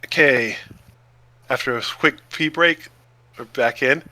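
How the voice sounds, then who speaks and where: A man's voice: mid-range voice, takes it slowly, pushed and bright, no room sound. A man co-hosting a podcast in casual chat, playful and given to short exclamations. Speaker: podcast co-host.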